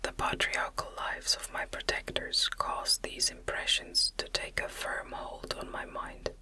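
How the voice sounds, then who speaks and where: A woman gently whispering a gothic novel as an ASMR audiobook narrator, in breathy, deliberate phrases.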